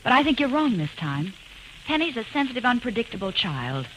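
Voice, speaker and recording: Expressive, sensible radio secretary. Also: female voice, fairly high pitch, brisk, rough recording. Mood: concerned. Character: sensible, caring female secretary, candid and cautious. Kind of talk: old-time radio drama acting. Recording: old radio recording with faint noise.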